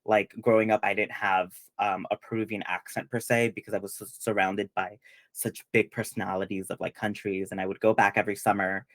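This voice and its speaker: A man's voice: fairly low pitch, level tone, brisk, a bit bright, dry recording. A young man from Peru hosting a casual panel chat, rambling with lots of fillers. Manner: chatty, many fillers and restarts. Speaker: panel host.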